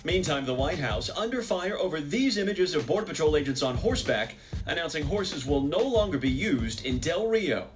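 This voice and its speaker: A man's voice: fairly high pitch, sing-song, moderate pace, soft background music. A man with an American accent delivering a scripted news report in emphatic, clipped headline phrases. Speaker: news correspondent.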